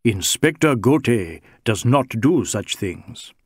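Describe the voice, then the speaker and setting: A man's voice: medium pitch, animated, slow, slightly rough, no echo, studio quality, exaggerated voice. A man voicing a big, brawny Sikh rogue in an audiobook, sly and teasing, with wheedling mock deference. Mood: mock humble. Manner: wheedling mock deference, Indian English phrasing. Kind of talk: audiobook character dialogue.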